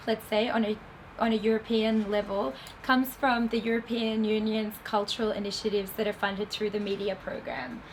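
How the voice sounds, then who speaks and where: A woman with an Australian accent giving an explanatory festival talk to an audience, pausing often with ums. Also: on the high side, slight background noise, poor audio. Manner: explanatory, with frequent ums and restarts. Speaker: film festival industry program head. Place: festival venue before an audience.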